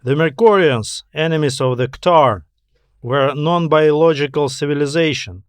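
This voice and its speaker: A man's voice: medium pitch, no room sound, studio quality. A man reading a scripted science fiction story as an audiobook narrator.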